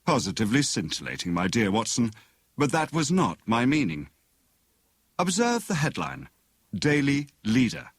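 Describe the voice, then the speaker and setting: A man's voice: mid-range voice, huge pitch swings, moderate pace, husky, no room sound, voice pushed up. A man voicing a jovial, pompous husband who plays amateur detective in a teasing, mock-grand manner for an audiobook.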